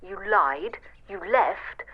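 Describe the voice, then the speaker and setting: An actress playing a bitter, cutting estranged ex-partner in an audio drama, heard through a telephone filter. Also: female voice, slow. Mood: scornful. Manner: scornful, cutting. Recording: dull, muffled phone-line sound.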